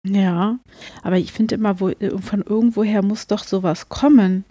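A woman co-hosting a podcast, explaining things in a casual, halting, self-correcting way. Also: mid-range voice, steady tone, mellow, dry recording, clean studio sound. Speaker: podcast co-host.

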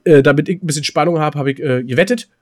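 A man's voice: fairly high pitch, quick pace, a little mellow. A man chats casually as a podcast co-host. He rambles through his stories in coarse, self-deprecating slang. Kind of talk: casual chat, recounting his weekend.

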